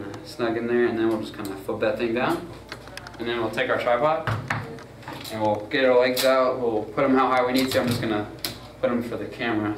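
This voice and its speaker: A young man walks through a gear setup in a relaxed, filler-heavy way. He is picked up from a distance in an echoey room. Steady background noise sits under his voice. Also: mid-range voice. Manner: loose and informal, many fillers and "gonna go ahead". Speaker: student crew tutorial presenter. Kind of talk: casual how-to demonstration. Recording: roomy, far from mic, noisy.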